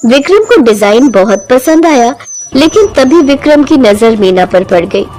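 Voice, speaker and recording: A woman narrating a cartoon story in a steady storytelling manner. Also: sits high, a little slow, rough recording. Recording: music underneath.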